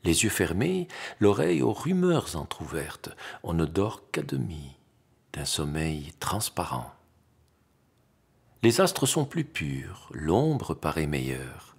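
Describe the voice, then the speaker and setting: A man reciting literary poetry in an expressive, theatrical, declamatory manner with dramatic pauses and a husky, gravelly voice. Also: low-pitched. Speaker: poetry reader.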